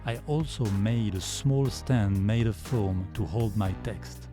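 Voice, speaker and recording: A man with a deep voice giving a calm, measured voice-over tutorial. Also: over music. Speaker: video creator. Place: foam vocal booth.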